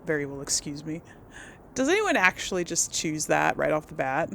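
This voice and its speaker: A woman's voice: sits low, very animated, moderate pace, creaky, pushed and bright, slight background noise. A woman giving casual game commentary, musing aloud as she plays and role-plays dialogue. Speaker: gamer, series host.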